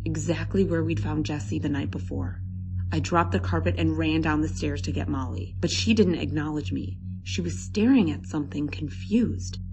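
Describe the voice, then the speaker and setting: A woman's voice: deep voice, gravelly, noisy background. A woman storyteller giving a scripted first-person narration of a scary story.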